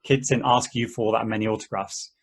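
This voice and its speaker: A man playing an easygoing game player in an amateur table read, talking in a halting, conversational way. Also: fairly low pitch, little pitch movement, a little hiss or hum, natural voice. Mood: casual, explaining. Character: easygoing, rambling male player of an endless treasure-hunt game.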